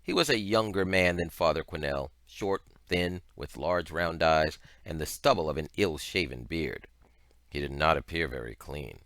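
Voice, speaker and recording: A man reading a story aloud as scripted narration, with expressive, deliberate phrasing. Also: a bass voice, dry recording, studio quality. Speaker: audiobook reader.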